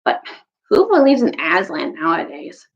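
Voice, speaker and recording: A woman's voice: lowish voice, huge pitch swings, normal speed, gravelly, dull audio, full character voice. A woman voicing a blunt, skeptical male storybook dwarf with an exclamatory, incredulous manner.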